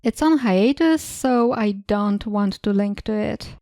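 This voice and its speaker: A young woman with a Polish accent, Polish her native language, calmly reading questions and her answers as a channel host. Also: medium pitch, slow pace, dry recording, clean studio sound. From Eastern Europe. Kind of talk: scripted Q&A narration.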